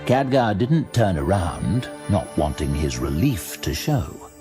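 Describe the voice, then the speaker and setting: A man's voice: sits low, very animated, takes it slowly, over music. A man narrating a scripted fantasy audio drama in a dramatic storytelling style.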